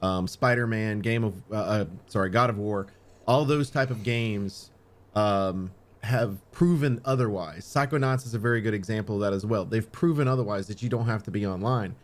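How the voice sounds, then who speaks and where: A man's voice: on the low side, normal speed. A man co-hosting a podcast in casual conversation, emphatic and repeating his points. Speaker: podcast co-host.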